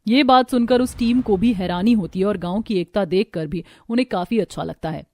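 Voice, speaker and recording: A woman reading a scripted story as the narrator of an animated cartoon. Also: mid-range voice, fairly quick, faint music behind.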